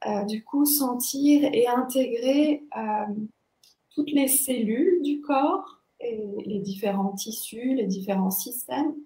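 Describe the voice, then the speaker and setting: A young woman explaining her practice as a guest teacher, thoughtful and halting as she searches for words. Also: on the high side, warm tone. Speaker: body-movement teacher, interview guest. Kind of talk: explanatory interview talk. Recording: echoey, roomy sound.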